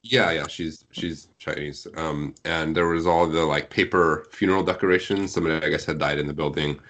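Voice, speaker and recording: A man's voice: rumbling low, steady tone, fairly quick, fairly bright tone. An American man telling anecdotes casually in an informal, rambling way. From United States.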